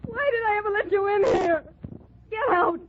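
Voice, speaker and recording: An actress playing a defensive, frightened young woman, high-strung and evasive, in 1940s radio melodrama style. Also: normal speed. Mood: desperate. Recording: tinny, noisy 1940s radio recording.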